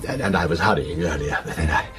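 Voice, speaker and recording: An actor playing an anxious, asthmatic businessman in his fifties in a radio drama, halting, earnest and short of breath. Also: very deep voice, noisy background. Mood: nervous, polite. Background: music.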